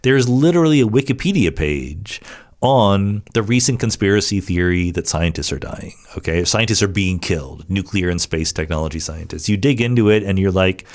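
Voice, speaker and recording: Guy doing a casual podcast monologue, kinda low voice but really expressive, throws in emphatic asides. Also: moderate pace. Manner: conversational, emphatic, rhetorical asides. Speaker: podcast host answering listener questions. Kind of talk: casual explanatory monologue, reads questions aloud.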